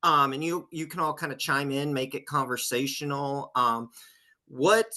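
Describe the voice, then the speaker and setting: A man's voice: mid-range voice, normal speed, pushed and bright. A man politely moderating a casual podcast conversation as co-host. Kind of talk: casual interviewing.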